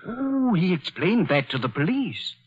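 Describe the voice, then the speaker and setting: A jail visitor in a 1940s radio thriller, matter-of-fact about grim news. The voice is husky and a little high, heard through a dull old broadcast. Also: male voice, very animated, measured pace, full character voice. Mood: grave, explanatory. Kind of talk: 1940s radio drama acting.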